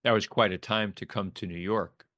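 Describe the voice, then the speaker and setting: A man's voice: lowish voice, fairly even intonation, normal speed, fairly bright tone, no echo, studio quality. A man hosting a podcast interview, asking questions in an easygoing way with many fillers. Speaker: podcast host.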